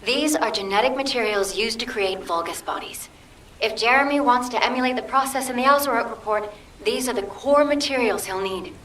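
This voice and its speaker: Woman doing a businesslike researcher in a game, highish voice, echoey with hiss. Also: fairly quick, a bit bright, lightly acted. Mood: puzzled, businesslike. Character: businesslike female researcher on the military radio. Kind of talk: dramatic video game cutscene acting. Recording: echoey, distant, hissy transmission.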